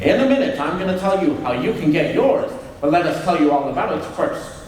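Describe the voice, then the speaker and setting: A man acting an eager, folksy 1940s radio pitchman selling to children in an exclamatory style. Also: lively intonation, brisk, darkish tone, close to an everyday voice. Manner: peppy, exclamatory salesman. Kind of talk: vintage radio advertisement. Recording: distant, very echoey hall, faint noise.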